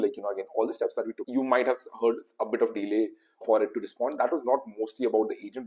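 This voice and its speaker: A young man with an Indian English accent talks fast through a screen-recorded tutorial. His voice sits fairly low and his talk is loose and full of fillers, recorded on a webcam mic indoors. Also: a little mellow, poor audio. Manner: quick, loose, with frequent fillers. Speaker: tutorial host. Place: indoor room.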